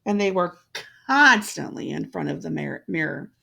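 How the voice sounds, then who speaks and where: A woman narrating a fairytale in a measured, deliberate way, with a gravelly, creaky voice. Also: on the low side, big pitch swings, sharp tone. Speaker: storyteller.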